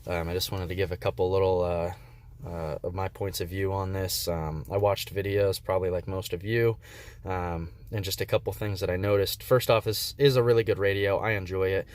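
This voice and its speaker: A man giving a casual, unscripted product review in a laid-back, hesitant way, with frequent pauses and ums. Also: deep voice, fairly even intonation. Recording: faint background noise.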